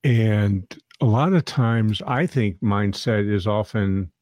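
A man's voice: fairly low pitch, slow pace, a little mellow, dry recording. A man hosting a podcast interview, talking in a friendly, rambling way and often restarting his sentences. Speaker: podcast host.

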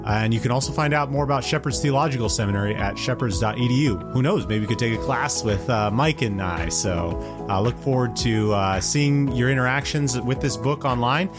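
A man's voice: mid-range voice, brisk. A man who hosts a podcast and teaches at a seminary, speaking in a promo introduction style. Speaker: podcast host and seminary professor. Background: guitar music.

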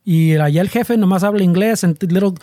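A quick-talking man with a high but mellow voice, recorded dry. He jokes and swears in casual chat, sprinkling Spanish words through his English. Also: dry recording.

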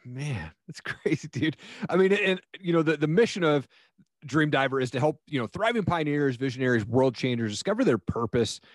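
A man's voice: fairly high pitch, expressive, moderate pace, no room sound, clean studio sound. An American man hosting a casual interview in an informal, encouraging, buddy-like way. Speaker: podcast host, interviewer.

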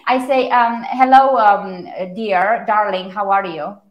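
A woman's voice: mid-range voice, takes it slowly, muffled recording. A woman from Romania with a Romanian accent, a native Romanian speaker, giving articulate, emphatic interview answers about her own work. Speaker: interview guest, brand strategist. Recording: echoey room.

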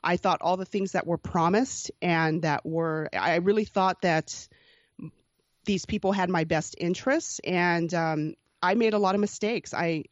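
A woman in her forties with a lowish voice, speaking earnestly and reflectively, with restarts and light laughs. The audio is dry and a bit muffled. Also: no echo. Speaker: podcast host being interviewed as guest. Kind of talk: candid personal storytelling.

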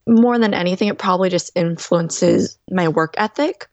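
Low-voiced woman DJ, dry sound. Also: normal speed, no room sound, soft background music. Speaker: DJ and guest. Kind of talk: interview answers.

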